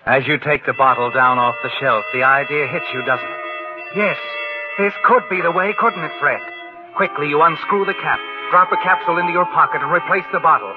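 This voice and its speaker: A man's voice: a little high, a little fast, close to an everyday voice. Vintage radio thriller narrator, male, played by an actor: ominous, insinuating, thin broadcast sound, prominent music behind. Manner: insinuating, suspenseful, rhetorical tag questions. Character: ominous male narrator addressing the schemer as you. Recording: tinny old radio broadcast over music.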